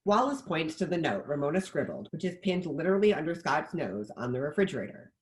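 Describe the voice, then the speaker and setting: A woman's voice: low voice, big pitch swings, fast. A woman narrator reading the stage directions of a screenplay in scripted narration.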